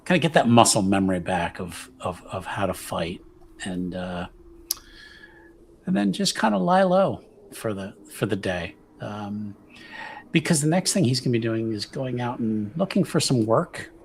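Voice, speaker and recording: A man playing a tabletop roleplaying game, talking casually and haltingly, often repeating himself as he improvises. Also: on the low side, expressive, vocal fry, a little hiss or hum. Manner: halting, repetitive, conversational. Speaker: tabletop RPG player. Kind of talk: casual improvised character planning.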